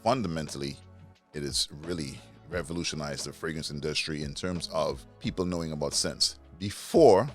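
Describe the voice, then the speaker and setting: A man from Trinidad with a very deep, low voice talks in a relaxed interview. He speaks with animated enthusiasm and sometimes searches for his words. Faint music plays under him. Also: moderate pace. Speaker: interview guest, fragrance reviewer. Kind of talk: conversational interview.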